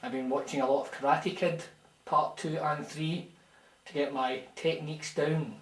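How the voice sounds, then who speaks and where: A man with a Scottish accent, calling in and posing as a famous fighter, mock-serious and deadpan in a comic phone-in bit. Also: a little high, moderate pace, roomy sound, close to an everyday voice, poor audio. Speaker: caller.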